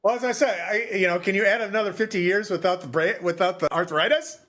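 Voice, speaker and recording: A man co-hosting a podcast in casual chat, thinking aloud in a halting way. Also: high voice, fairly bright tone. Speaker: podcast co-host.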